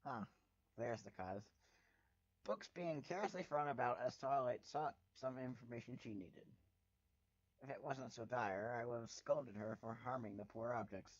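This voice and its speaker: A man reading fan fiction aloud as a dramatic first-person narrator, with a wry, expressive delivery. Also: medium pitch, moderate pace, husky, dry recording, lo-fi sound.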